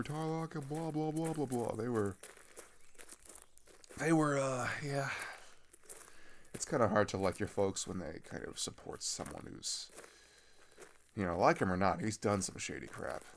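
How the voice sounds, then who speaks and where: A man's voice: medium pitch, unhurried, pauses often, slight background noise, close to an everyday voice. A man playing an earnest, disillusioned teenage traveler in an ASMR roleplay, talking hesitantly and conversationally, often trailing off. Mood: animated, incredulous. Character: chatty, earnest, disillusioned male teenager on a journey. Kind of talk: ASMR roleplay, naturalistic improvised-sounding monologue.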